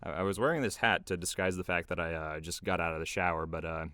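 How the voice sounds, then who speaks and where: Man, low-pitched, casual commentary, loose rambling delivery, small blanket booth. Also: fast talker, a bit bright, smooth, no room sound, studio quality. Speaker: video creator and voice actor. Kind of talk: casual behind-the-scenes commentary.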